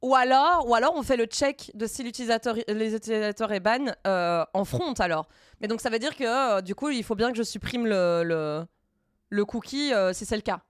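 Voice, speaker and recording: Bright-toned woman coding on stream. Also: on the high side, smooth, no echo, clean studio sound. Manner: thinks aloud, halting, many fillers and restarts; mixes in English coding terms. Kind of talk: casual live-stream chat while coding.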